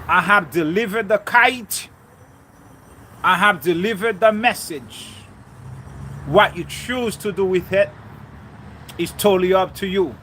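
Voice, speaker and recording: A man's voice: high-pitched, unhurried, pauses often, sharp tone, a little hiss or hum. A man from Haiti giving a fervent spiritual talk on faith and relationships, repeating key lines for emphasis. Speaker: spiritual speaker. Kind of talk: preachy motivational talk.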